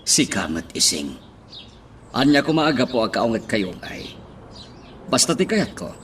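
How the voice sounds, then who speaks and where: Authoritative employer, varied pitch, average register, radio drama, light background noise. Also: male voice, big pitch swings, measured pace, a bit bright. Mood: stern. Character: authoritative boss giving orders to his staff.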